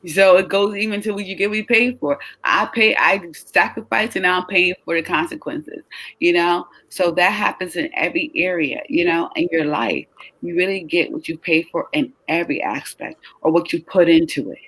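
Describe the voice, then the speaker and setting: A woman who is tired and short on sleep, raspy-voiced, chatting casually and lively as a travel business host. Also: lowish voice, normal speed, no echo, low-quality recording. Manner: lively, talks with her hands in her voice, leaning on questions to the listener. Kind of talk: casual chat.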